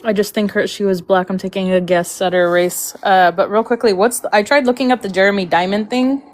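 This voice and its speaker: A woman's voice: medium pitch, steady tone, brisk. Rambling woman chatting on a live stream. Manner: rambling, frank, trails off. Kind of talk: casual livestream chat.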